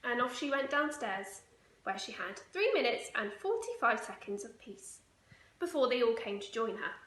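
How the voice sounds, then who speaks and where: A woman's voice: on the high side, very animated, moderate pace, fairly bright tone. A woman storyteller reading a children's picture book aloud. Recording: echoey room, faint noise.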